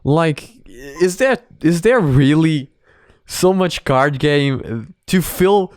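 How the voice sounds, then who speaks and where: A man's voice: fairly high pitch, lively intonation, very slow, a little mellow. A man giving casual, off-the-cuff reaction commentary, rambling and hesitant as he thinks aloud. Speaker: host of a reaction video.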